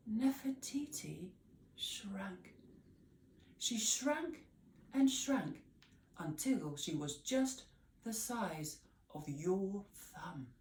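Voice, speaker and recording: A woman reading a children's story aloud with expressive, theatrical emphasis on key words. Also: mid-range voice, a little hiss or hum. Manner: theatrical, deliberate word emphasis. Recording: roomy echo, distant microphone.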